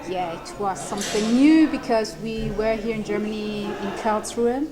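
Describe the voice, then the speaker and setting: A woman in her thirties from Eritrea whose first language is Tigrinya, answering interview questions with many pauses and ums. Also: mid-range voice, a crowd in the background, low-quality recording. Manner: hesitant, with many ums and restarts, laughing lightly. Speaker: lawyer and human rights advocate.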